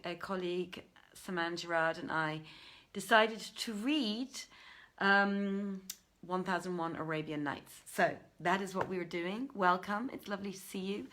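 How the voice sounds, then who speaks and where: A woman's voice: fairly low pitch, fairly bright tone. A woman hosting a reading series, speaking in a casual, effusive welcome to her livestream audience. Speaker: theatre director and host of a reading series. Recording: music playing behind the voice. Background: music.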